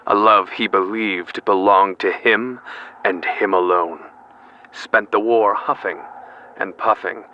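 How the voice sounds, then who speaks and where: A young man playing a grave, ominous narrator of a 1940s radio play, portentous and measured. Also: on the low side, pushed and bright. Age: young adult. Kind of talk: dramatic scripted narration. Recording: dull radio-style audio, faint noise.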